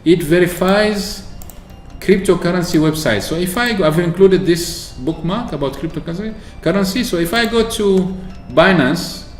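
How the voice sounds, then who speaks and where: A man from Oman chatting casually through a software walkthrough, explaining with fillers and restarts. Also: high voice, normal speed, faint music behind. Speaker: tech video host. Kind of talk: screen-recorded software walkthrough. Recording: echoey room with faint ambience.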